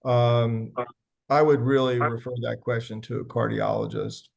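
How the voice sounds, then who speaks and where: Gravelly-voiced male doctor. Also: mid-range voice, steady tone, a little slow. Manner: conversational, frequent fillers and restarts. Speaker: physician panelist. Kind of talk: medical panel Q&A.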